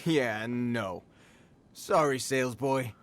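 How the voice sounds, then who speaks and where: An actor playing a cocky bandit thug in an anime video game, mocking and slangy. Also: male voice, medium pitch, slow pace, a little hiss or hum, natural voice. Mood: mocking, menacing. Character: cocky sneering male bandit thug, rough street talk. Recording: game music behind dialogue.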